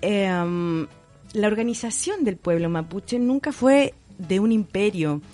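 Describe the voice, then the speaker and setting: A woman's voice: medium pitch, expressive, takes it slowly, mellow, faint music behind. A woman with a Chilean accent, speaking as a guest in a casual radio interview and explaining her products with enthusiasm and some laughter. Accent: Chilean Spanish. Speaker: guest entrepreneur.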